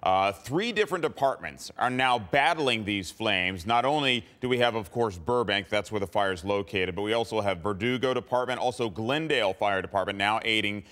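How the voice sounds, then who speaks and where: An American man anchoring live breaking news on a morning show, with emphatic, deliberate delivery. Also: on the low side, fairly bright tone.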